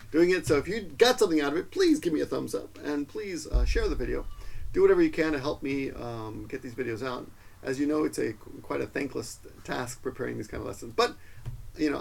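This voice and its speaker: A man's voice: mid-range voice, vocal fry, breathy edge. A man from Peru explaining vocabulary in a casual video lesson, rambling and correcting himself often. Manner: rambling, self-correcting explanations with many fillers. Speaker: language teacher.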